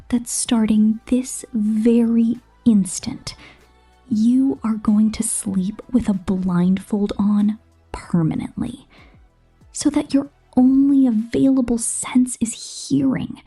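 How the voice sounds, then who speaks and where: Female-performed succubus pop star for ASMR roleplay: slow, whispery and breathy, possessive, seductive menace, faint music underneath. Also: a little high, steady tone, dark tone, exaggerated voice. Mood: commanding, possessive. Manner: slow, deliberate, intimate.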